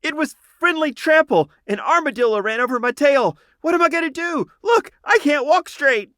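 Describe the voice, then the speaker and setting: A frantic little storybook raccoon, hurt and whining. The voice is pushed very high and loud, with a close, dry sound. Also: male voice, animated, normal speed, dry recording. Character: frantic injured male raccoon, panicking and whining.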